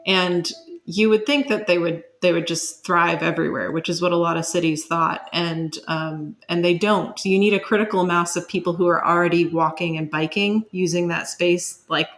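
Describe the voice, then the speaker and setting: A woman with a lowish voice talks as an interview guest. She explains in a thoughtful, conversational way, like an expert answering questions. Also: steady tone, normal speed, a bit bright.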